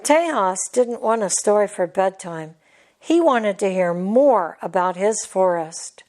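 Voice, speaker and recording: A woman's voice: mid-range voice, expressive, takes it slowly, a little mellow. A woman reading a scripted children's story as a storybook narrator. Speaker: storybook reader.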